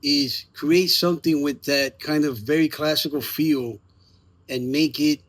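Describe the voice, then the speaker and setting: A man's voice: a little high, fairly even intonation, measured pace, fairly bright tone. A man speaking as a theater maker in a casual promotional chat, informal and emphatic. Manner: informal, emphatic, lightly profane. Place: outdoors in the evening.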